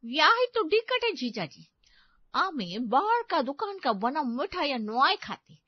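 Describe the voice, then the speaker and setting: A woman voicing a fussy, gushing older auntie in an audio story, with a Bengali accent, drawn-out emphatic words and broken Hindi. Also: high-pitched, big pitch swings, dry recording, dull audio. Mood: fussy, disgusted.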